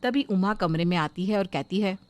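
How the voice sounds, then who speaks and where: A woman's voice: mid-range voice, normal speed, no echo. A woman giving scripted narration for a cartoon moral story, as its narrator.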